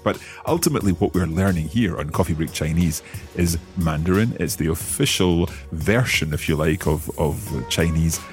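A man's voice: low voice, faint music behind. Scottish man hosting a language lesson. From Scotland. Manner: upbeat, careful, repeats phrases slowly to practise. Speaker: language course host. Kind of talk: scripted podcast lesson.

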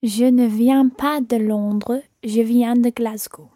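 A woman speaking slowly and carefully as the learner in a language lesson. Her voice is mellow and fairly high. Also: fairly even intonation, dry recording, clean studio sound. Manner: slow, careful repeating.

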